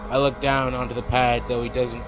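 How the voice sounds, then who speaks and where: A man's voice: medium pitch, flat delivery, a little fast, muffled recording. A man hosting a let's play, reading a visual novel aloud and adding casual commentary. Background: game music.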